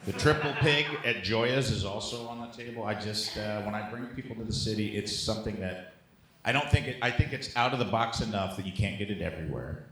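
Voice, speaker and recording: Low-voiced man joking in echoey room. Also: brisk, fairly bright tone. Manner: rambling, joking, profane. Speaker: comedian guest on a podcast. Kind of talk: casual chat and comic storytelling.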